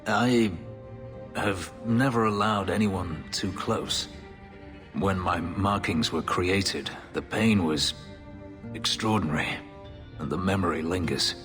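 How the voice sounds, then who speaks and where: A man playing a guarded, brooding elf and former slave in a video game, with a solemn, halting delivery. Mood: guarded, tender. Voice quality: deep, low voice. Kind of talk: dramatic video game dialogue. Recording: reverberant hall sound with music underneath.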